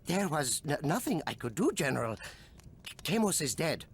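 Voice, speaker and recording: A servile, frightened henchman from a game cutscene. He pleads and stammers in a slightly high voice with wide pitch swings. There is faint noise behind him. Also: very animated, normal speed, vocal fry. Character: fearful, servile henchman of a warlord. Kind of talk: video game cutscene acting.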